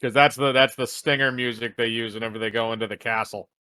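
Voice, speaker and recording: A man's voice: medium pitch, steady tone, fast, bright, forceful tone, clean tone, no room sound. A man chatting casually over a game who laughs easily and keeps asking for a second while he looks things up. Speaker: let's play co-host. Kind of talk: casual gaming chat.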